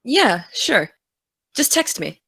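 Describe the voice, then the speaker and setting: A woman's voice: on the high side, sing-song, takes it slowly, vocal fry, bright, forceful tone, husky, dry recording, studio quality, heavily acted. An actress playing a gung-ho teenage girl podcaster, teasing and friendly, in a naturalistic audio drama. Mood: keen, friendly. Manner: teasing, enthusiastic.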